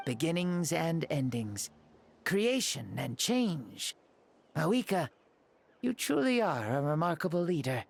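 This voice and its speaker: A man's voice: on the high side, sing-song, unhurried, vocal fry, no room sound, heavily acted. A man playing an eloquent, playful ancient hero reborn in a youthful body, theatrical, in a video game. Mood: admiring. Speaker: game character. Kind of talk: scripted video game voice acting.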